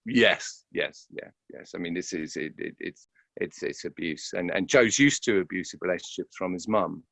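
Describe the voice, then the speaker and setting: A man's voice: sits low, normal speed, vocal fry, fairly bright tone, no room sound. A man reflecting in an interview, speaking hesitantly and repeating words as he thinks aloud. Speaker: interviewed actor.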